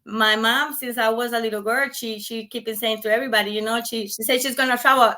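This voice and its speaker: Female guest, native Spanish, Costa Rican accent; bright, forceful voice, animated casual storytelling with repeats for emphasis. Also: fairly high pitch, quick pace. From Costa Rica. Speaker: podcast guest.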